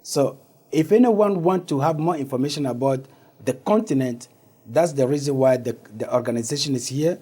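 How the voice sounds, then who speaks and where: A man with a West African accent, a native Ewe speaker, answering haltingly as an interview guest. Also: medium pitch, dark tone, breathy edge. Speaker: interview guest, organization founder. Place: studio.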